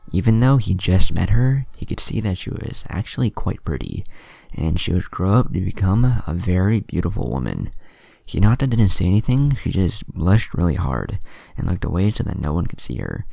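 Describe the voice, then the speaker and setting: A man reading a fan fiction story aloud in a plain, steady way, with the odd slip. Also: low-pitched, moderate pace, creaky, dry recording.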